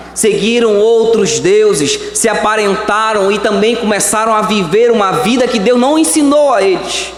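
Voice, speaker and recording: High-voiced preacher man in an echoing hall. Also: slight background noise. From Brazil. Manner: preaching with swings between slow emphatic words and quick phrases. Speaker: pastor. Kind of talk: sermon. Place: church hall. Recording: echoey church hall.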